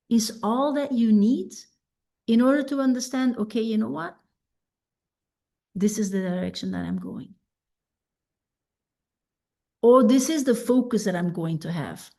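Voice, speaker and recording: A woman coach whose first language is Dutch, teaching a self-help masterclass in an unhurried, reflective way and often checking in with "right?". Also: on the high side, dark tone.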